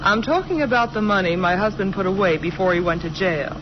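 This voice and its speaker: An American actress playing a sly, flirtatious, hard-edged gangster's widow in a 1940s radio drama, coy and mocking. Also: female voice, medium pitch, a little fast, natural voice. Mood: coy, coaxing. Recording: muffled old radio recording, steady noise.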